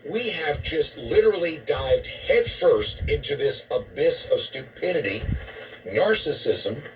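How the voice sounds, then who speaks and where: A man's voice: fairly high pitch, very animated, a little slow, distant mic, soft background music. A man delivering an opinionated talk radio monologue over shortwave, emphatic and disapproving, posing rhetorical questions. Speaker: radio host and commentator. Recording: muffled, noisy shortwave radio broadcast.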